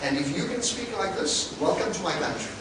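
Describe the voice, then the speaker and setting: A man's voice: sits high, lively intonation, brisk, over a noisy crowd. A South African man in his forties with a South African accent, giving an encouraging, chatty guest talk to a class and laughing between points. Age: 40s. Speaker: guest speaker and English teacher. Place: large echoey classroom. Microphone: distant camera mic. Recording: echoey room from far off, noisy.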